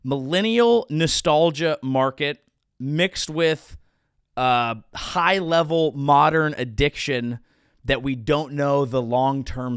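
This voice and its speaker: A man's voice: a little high, fairly bright tone, clean tone, no echo, studio quality. A man in his thirties hosting a comedy show, delivering a sarcastic monologue with mock-outraged rants. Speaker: comedian host.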